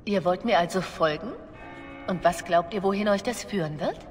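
A woman's voice: on the low side, moderate pace, natural voice. An actress plays a wronged young bard in a fantasy game. She sounds wary and questioning, edged with indignation, in a long reverberant space with music behind her. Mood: bitter, guarded. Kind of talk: dramatic video game voice acting.

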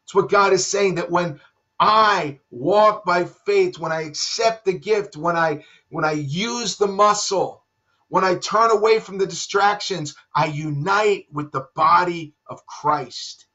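Earnest pastor preaching from home. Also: male voice, slow. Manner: earnest preaching, drops to soft low tones for emphasis. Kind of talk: sermon. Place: home room with bookshelves. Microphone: webcam at desk. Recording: dull lo-fi webcam audio.

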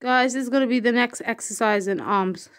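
Young woman vlogging between workout sets. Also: fairly quick. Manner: breathless and low-voiced between workout sets. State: out of breath. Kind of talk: casual vlog chat. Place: living room at home.

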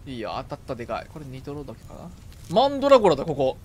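A young man doing casual live gaming commentary, excitable, exclaiming and repeating short phrases as he plays co-op. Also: a little high, rapid-fire, slight background noise. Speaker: game streamer playing co-op.

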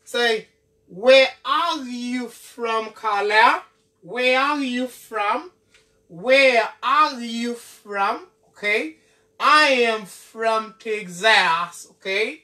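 A young man teaching at a whiteboard, drilling each phrase slowly and checking in with "ok?" after nearly every line. His voice is bright and forceful. It is picked up from a few feet away by a camera mic in a small room. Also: extremely high pitch, lively intonation. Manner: slow, repeats each phrase, often asking "ok?". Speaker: English teacher. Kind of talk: classroom lesson. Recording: voice from far off the mic.

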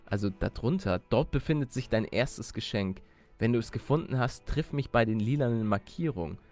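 A man voicing a courteous, scheming duck scientist in a video game, speaking in formal, measured phrases. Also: mid-range voice, expressive, darkish tone, soft background music, close to an everyday voice. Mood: pleased, formal. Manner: formal, measured, deliberate wording.

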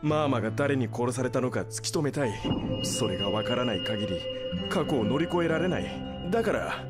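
A man's voice: medium pitch, animated, fairly quick, over loud music, lightly acted. An actor playing a bitter, wounded young male gang leader in a Japanese game drama, brooding and intense. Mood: subdued, earnest. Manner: intense, brooding, breaking into anguished shouting. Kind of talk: Japanese video game cutscene acting.

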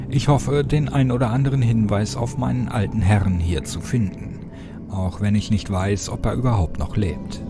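A man reading a scripted first-person horror story as an audiobook narrator, in a measured, dramatic way. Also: medium pitch. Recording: music behind the voice.

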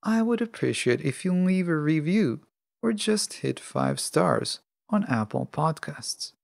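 A man hosting a self-help podcast alone, speaking in measured, deliberate phrases. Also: on the high side, lively intonation, gravelly, dry recording, studio quality. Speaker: podcast host.

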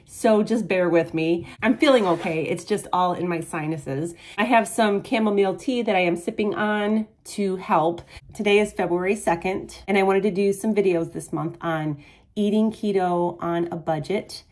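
A woman's voice: mid-range voice, moderate pace, darkish tone. A woman with a cold and a stuffy, nasal voice, from the United States, chatting casually as she walks through a home cooking video and explains prices step by step. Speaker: keto cooking channel host.

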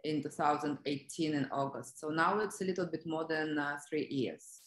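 A woman's voice: low-pitched, normal speed. A woman from Russia with a Russian accent, conversationally telling her story as an interview guest on a video call. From Russia (Siberia). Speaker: interview guest, business development professional. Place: indoor room on a video call. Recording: roomy, echoey video call.

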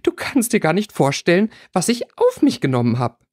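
A man's voice: high voice, huge pitch swings, moderate pace, a little mellow, husky, voice pushed up, heavily acted. A man voicing an upset, tearful and indignant young schoolgirl in a plaintive storybook reading.

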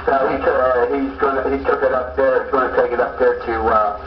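A man chatting casually over a CB radio, explaining things in a halting, rambling way. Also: mid-range voice, fairly even intonation. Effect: CB radio transmission through speaker. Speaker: CB radio operator talking over the air. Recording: muffled CB speaker, echoey, distant, noisy.

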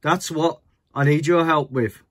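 A man's voice: a little high, fairly even intonation, unhurried, a bit bright, no room sound, clean studio sound. A man playing a blunt army general who likes things simple, speaking emphatically and condescendingly in a toy-soldier story. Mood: blunt, insistent.

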